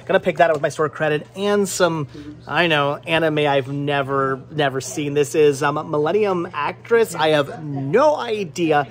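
An American man in his thirties chatting excitably as a vlog host, often repeating his words, recorded on a handheld camera indoors in a shop. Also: a little high, moderate pace. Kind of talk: casual handheld shopping vlog chat. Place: indoor flea market movie shop. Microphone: handheld camera at arm's length.

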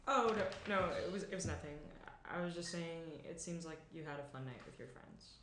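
A woman's voice: deep voice, normal speed, fairly bright tone. A woman playing a polite, friendly driver making small talk in a table read. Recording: roomy echo, faint noise.

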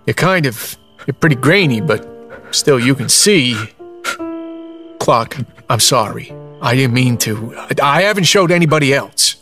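An actor playing a cocky, streetwise young drifter in an audio drama, wisecracking in folksy, colloquial speech. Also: male voice, mid-range voice, animated, unhurried, bright, forceful tone, faint music behind. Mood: apologetic, halting.

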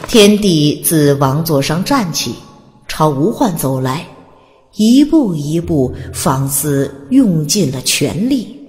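A woman's voice: low voice, big pitch swings, slow pace, darkish tone, long reverb tail. A woman narrating a fantasy audiobook in a dramatic, scripted storytelling style. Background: music.

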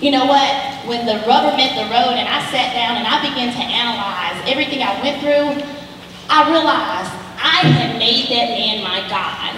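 An actress plays an earnest woman counselor in a stage drama, encouraging and preachy. Her voice is on the high side and rings through a very echoey theater, with clear noise in the recording. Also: moderate pace, fairly bright tone, distant mic, close to an everyday voice. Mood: earnest, testifying. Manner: preachy, emphatic, motherly reassurance. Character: warm, churchy female counselor, earnest and encouraging. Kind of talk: stage play acting. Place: indoor theater stage living-room set.

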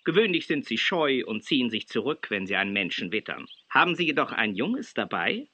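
Bright-voiced, cautious government official. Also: male voice, medium pitch, very animated, brisk, husky, full character voice. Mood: advising. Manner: polite, formal, instructive. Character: friendly government official in Africa, cautious and advising. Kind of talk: children's radio play acting. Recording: dull tape sound, faint noise.

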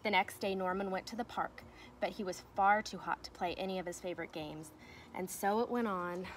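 A young woman reads a children's story in a medium-pitched voice. Her delivery is expressive, with emphatic swells on key words. The recording is made outdoors, with some ambient noise behind her. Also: brisk. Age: young adult. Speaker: teacher reading aloud to pupils. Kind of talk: children's storybook read-aloud. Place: outdoors in a fenced backyard.

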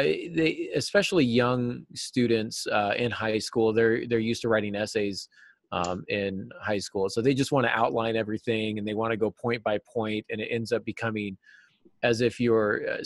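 Middle-aged male interview guest, lowish voice, informal teacherly explanations, close dry sound. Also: fairly even intonation, moderate pace, vocal fry, dry recording. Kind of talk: casual explanatory interview talk. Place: classroom, indoors. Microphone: computer mic on a video call.

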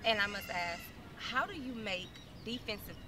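A woman's voice: mid-range voice, animated, normal speed, heavy background noise. A woman in her thirties hosting an interview outdoors, asking questions in a bubbly way. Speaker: interview host. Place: outdoors in a sunny garden courtyard. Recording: voice a little distant from the mic.

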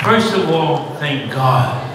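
A middle-aged man giving a slow, heartfelt acceptance speech with pauses at a podium during a graduation ceremony in a large auditorium. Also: a little high, expressive. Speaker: award recipient. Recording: distant, echoey hall with loud crowd and noise.

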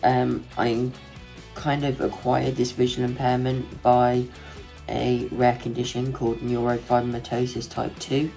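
A man's voice: medium pitch, completely flat delivery, measured pace, gravelly, mellow, faint music behind, rough recording. A man in his twenties from England, talking plainly and directly on a video call through earbuds, indoors. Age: 20s. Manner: plain, direct talk with fillers. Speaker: interviewee. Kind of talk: casual talk on a video call. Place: indoor room.

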